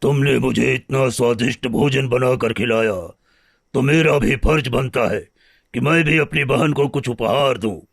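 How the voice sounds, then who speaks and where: A man voicing a noble, wounded but forgiving lion in a cartoon moral story, speaking in solemn, heartfelt phrases with a gravelly, husky voice. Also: mid-range voice, a little slow, no echo, clean studio sound. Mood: generous, warm. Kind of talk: animated moral story dialogue.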